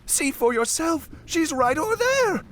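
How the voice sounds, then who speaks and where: A man's voice: extremely high pitch, big pitch swings, measured pace, fairly bright tone, a little hiss or hum, voice pushed up, exaggerated voice. A man voicing a frightened, desperate young deckhand boy who pleads in archaic nautical language. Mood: insistent.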